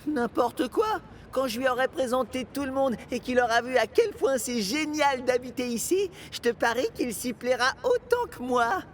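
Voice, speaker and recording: A man voicing a young, kind-hearted little steam locomotive in a French children's cartoon, eager, earnest and expressive. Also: extremely high pitch, normal speed, hardly any pauses, a little hiss or hum. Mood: cheerful, eager. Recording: loud music behind.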